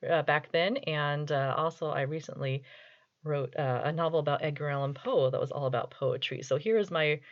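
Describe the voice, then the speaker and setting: A middle-aged woman, an author, chatting casually and reading poetry in a playful, mock-serious way on a lo-fi home recording. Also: sits low. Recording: dull, lo-fi home video audio.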